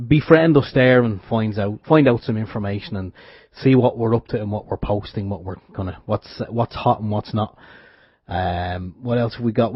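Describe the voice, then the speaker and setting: Husky male radio co-host, lo-fi stream. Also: medium pitch, no echo. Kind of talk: casual radio chat and announcements. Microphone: close studio microphone. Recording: dull lo-fi internet radio stream.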